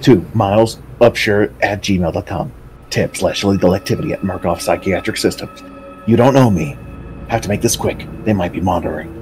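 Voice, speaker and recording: Male narrator, lowish voice, dramatic read of game text, music underneath. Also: a little fast, a little mellow. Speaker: co-host reading game text aloud.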